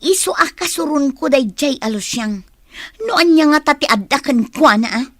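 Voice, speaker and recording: A woman's voice: high-pitched, animated, moderate pace, fairly bright tone, no room sound, clean studio sound. A woman playing a young office secretary with a Filipino accent, eager to please yet resentful, in a radio drama. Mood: sulky, resentful.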